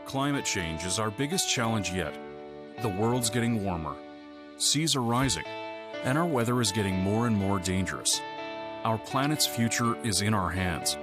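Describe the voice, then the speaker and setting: A man's voice: lowish voice, expressive, normal speed. A man reading a scripted promo voice-over in an earnest, rousing way. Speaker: promo narrator. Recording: voice-over over loud music. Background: guitar music.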